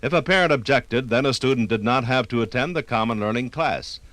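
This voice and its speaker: An American man reading scripted 1950s radio documentary narration with oratorical, measured phrasing. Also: medium pitch, a bit bright, dry recording.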